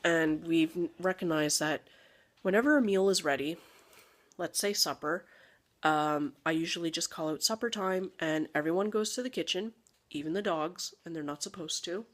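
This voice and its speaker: A woman's voice: on the low side, moderate pace. Chatty woman vlogging about parenting. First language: not English. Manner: chatty and rambling, many fillers and asides. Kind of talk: casual talk to camera.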